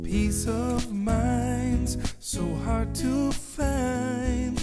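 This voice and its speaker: Woman singing a slow gospel tv theme over loud guitar. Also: on the high side, level tone. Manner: sung gospel-pop theme.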